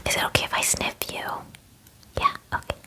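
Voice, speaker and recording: A woman in her twenties whispering an ASMR roleplay as a friendly werewolf groomer, in a breathy, hesitant, chatty way, close to the microphone. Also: brisk. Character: friendly young female werewolf salon groomer. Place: small indoor room at home. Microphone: close microphone, face near camera.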